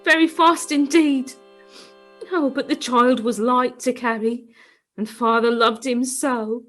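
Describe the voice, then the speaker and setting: A woman's voice: on the high side, steady tone, slow, faint music behind. A woman acting a tender, grieving mother in a radio play, tearful and theatrical. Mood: sad, tender.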